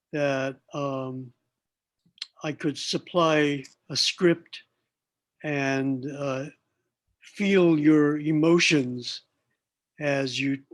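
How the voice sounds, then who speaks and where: A man speaks as a playwright after a reading, sincere and measured. His pace is extremely slow and halting, and his voice sits slightly high. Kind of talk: sincere post-show remarks.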